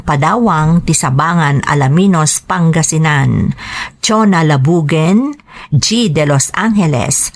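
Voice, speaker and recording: A woman presents as the host of a story program. Her voice sits a little low for a woman. She reads her script and greetings slowly, name by name. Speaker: radio drama program host. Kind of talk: scripted narration and listener shout-outs.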